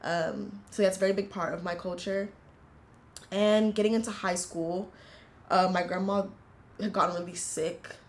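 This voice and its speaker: A woman in her twenties from the United States, telling her family story in an earnest, reflective interview, pausing often as she goes. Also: medium pitch, steady tone. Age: 20s. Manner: earnest, reflective, halting with frequent fillers. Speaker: interviewee, university student. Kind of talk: casual personal storytelling.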